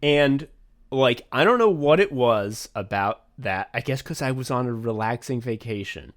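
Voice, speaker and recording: A young man co-hosting a podcast in casual chat, rambling and swearing freely, getting excited and laughing as he talks. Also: medium pitch, normal speed. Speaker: podcast co-host and writer.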